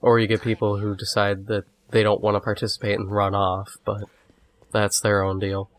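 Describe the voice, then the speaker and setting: A man with a cold, a little sniffly, giving relaxed, rambling commentary over a game walkthrough. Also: fairly low pitch, normal speed. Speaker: gamer doing a walkthrough. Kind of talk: casual gameplay commentary.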